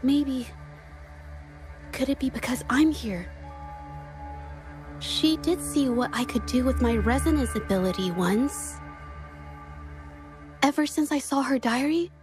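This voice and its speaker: Gentle earnest young painter girl, high voice, hesitant, anime game, music behind her. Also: slow pace, long pauses. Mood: guilty, wistful. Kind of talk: anime-style video game voice acting.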